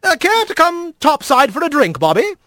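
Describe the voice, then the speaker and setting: Man doing a jovial, pompous otter gentleman, swooping pitch. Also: huge pitch swings, normal speed, no room sound, studio quality, heavily acted. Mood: chummy. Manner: breezy, pompous, old-fashioned nautical gentry talk. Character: jovial, pompous male otter mayor, upper-crust gentleman.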